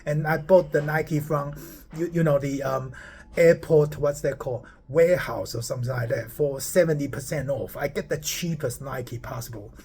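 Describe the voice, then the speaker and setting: A man's voice: fairly high pitch, moderate pace, a little mellow. Rambling man who keeps saying "okay". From Taiwan. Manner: rambling, repeats words and asks "okay?" often. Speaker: startup founder. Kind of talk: casual talk to camera. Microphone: phone.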